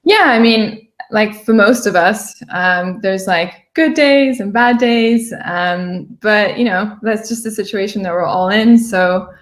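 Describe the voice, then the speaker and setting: Informal American-accented woman, medium pitch. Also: unhurried, few pauses. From Switzerland and Singapore. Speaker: interview guest, fragrance video creator. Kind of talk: casual chat.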